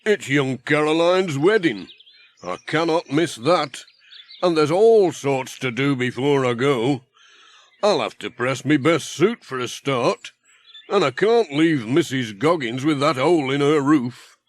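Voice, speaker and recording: A man's voice: fairly high pitch, expressive, a little slow. A British man voicing a busy village handyman in a children's audio story, chatty and emphatic.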